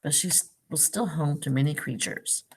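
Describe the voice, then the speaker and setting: A woman reading a picture book aloud to young children, pausing for simple questions and explanations like a teacher. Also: sits low, a little hiss or hum, low-quality recording. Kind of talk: read-aloud storytime with asides and questions to young listeners.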